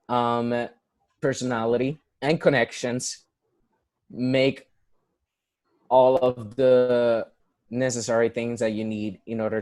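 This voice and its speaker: A young man speaks with a Puerto Rican accent, earnest and conversational, circling back with restarts and fillers. His voice sits in an ordinary middle pitch. The sound is dry, with hardly any room behind it. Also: darkish tone, clear, resonant tone, no echo. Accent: Puerto Rican Spanish. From Puerto Rico. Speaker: guest, musical theater performer. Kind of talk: casual conversational interview.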